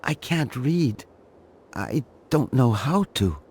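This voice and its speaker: An actor playing a frail, simple-minded old inventor who talks in short childlike sentences, in a video game. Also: male voice, mid-range voice, sing-song, slow, darkish tone, full character voice. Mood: simple, insistent. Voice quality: husky, breathy.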